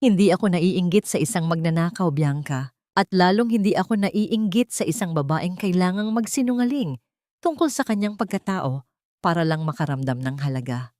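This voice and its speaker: A woman's voice: fairly low pitch, expressive, moderate pace, a little mellow, dry recording, lightly acted. Lady playing a cold vengeful wife in an audiobook drama, controlled and proud, dry close sound. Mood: cold scorn.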